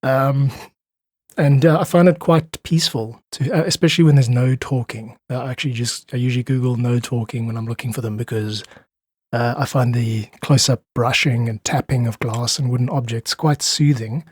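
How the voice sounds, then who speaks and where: Man with a husky voice. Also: medium pitch, steady tone, moderate pace, darkish tone, dry recording. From South Africa. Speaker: podcast guest, freelance musician. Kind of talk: casual podcast conversation.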